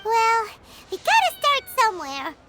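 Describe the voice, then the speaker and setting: A woman playing a small, excitable, childlike fairy companion in a video game, with a cute, exclamatory manner. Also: very high voice, measured pace, full character voice. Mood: weary. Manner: exclamatory, cute, speaks of herself in third person. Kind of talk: video game character acting. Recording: loud game music underneath.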